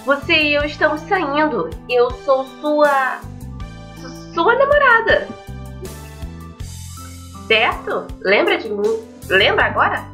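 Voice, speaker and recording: A woman's voice: high-pitched, big pitch swings, slow pace, long pauses, pushed and bright, soft background music, pitched above the performer's own voice, full character voice. A woman voicing an anxious, shy teenage anime schoolgirl with a stammering, hesitant delivery in a visual novel. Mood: flustered, shy. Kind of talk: visual novel character read aloud.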